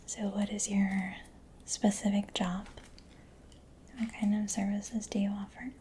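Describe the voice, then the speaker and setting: A soft-spoken woman in a breathy whisper, gently and reassuringly playing a caring mechanic in an ASMR roleplay. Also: medium pitch, level tone, a little slow, a little hiss or hum. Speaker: ASMR performer.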